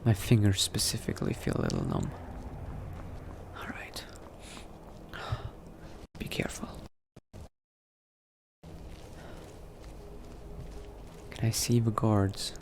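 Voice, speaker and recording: A man's voice: on the low side, huge pitch swings, a little slow, vocal fry, long pauses, heavily acted. A man voicing a cautious, coldly pragmatic new vampire in a roleplay, muttering to himself and often dropping into a whisper. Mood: cautious, hurried. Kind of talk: in-character roleplay narration, thinking aloud. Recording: noticeable background noise.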